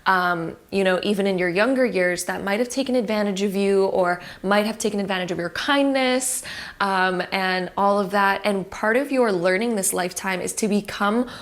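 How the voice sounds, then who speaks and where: A woman giving a pick-a-card tarot reading to her viewers, soft and affirming, her voice a little cracked from having just woken up. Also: medium pitch, moderate pace. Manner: soft, affirming and spiritual, full of fillers. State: voice cracking after just waking.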